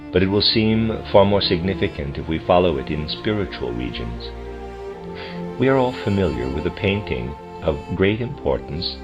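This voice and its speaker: A man with a low voice reads a lecture aloud in a measured, formal way. His phrasing is deliberate, as in an audiobook. The recording is dull and lo-fi, with music clearly playing behind him. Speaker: lecture reader. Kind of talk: audiobook-style reading of a translated lecture.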